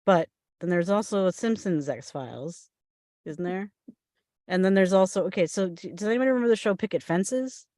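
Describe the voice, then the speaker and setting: A woman's voice: mid-range voice, animated, normal speed, warm tone, no echo, clean studio sound. A woman in her forties chatting casually and telling stories in a group conversation. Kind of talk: casual group chat about television.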